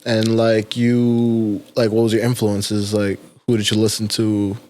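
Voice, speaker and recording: A man's voice: on the low side, completely flat delivery, measured pace, a little mellow. A man hosting a casual interview, asking laid-back questions with many fillers. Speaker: podcast host.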